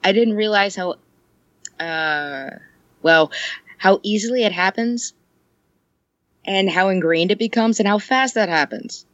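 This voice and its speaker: A woman's voice: mid-range voice, unhurried, long pauses, fairly bright tone, no echo, muffled recording. A woman chatting casually on a podcast, rambling and joking, laughing often. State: ill. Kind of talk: casual chat.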